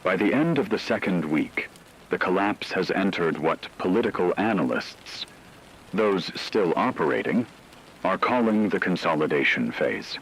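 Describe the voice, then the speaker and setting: A man delivering scripted news analysis on the radio in a measured, formal manner. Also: low-pitched, animated, fairly bright tone, slightly rough, poor audio.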